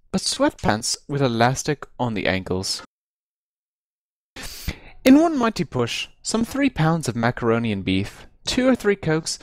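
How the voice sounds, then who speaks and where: A man reads a comic first-person tale with highly animated, swooping intonation. His delivery is theatrical and emphatic, stretching out key phrases for effect. The sound is close and dry, as from a computer microphone on voice chat. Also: no echo. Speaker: amateur reader of a comic story. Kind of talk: dramatic read-aloud of a humorous first-person story. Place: recorded over an online voice chat.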